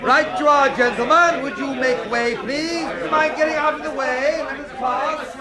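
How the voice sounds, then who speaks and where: A brisk gentleman in a radio play. His commanding voice is pitched very high and peremptory, amid a loud crowd. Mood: urgent. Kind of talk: radio drama acting. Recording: noisy crowd around voice.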